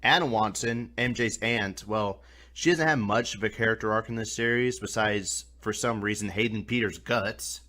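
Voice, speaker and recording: A man's voice: fairly low pitch, moderate pace, pushed and bright. A man hosting a scripted video review, talking in a colloquial, mildly profane way. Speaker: reviewer, host.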